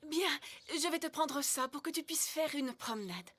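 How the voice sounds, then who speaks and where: A woman voicing a gentle, anxious royal mother in a French cartoon dub. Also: high-pitched, expressive, moderate pace, slight background noise. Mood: soothing.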